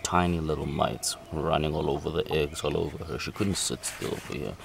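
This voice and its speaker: A man with a strikingly deep voice and plenty of fry talks casually, musing to himself as he goes. He is behind a handheld camera outdoors, and there is clear background noise with birds and insects around him. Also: fairly quick. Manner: musing, asks himself questions. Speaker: amateur vlogger filming a farm. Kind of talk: casual off-the-cuff narration of animals. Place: outdoors in a farmyard. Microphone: handheld camera, behind the lens.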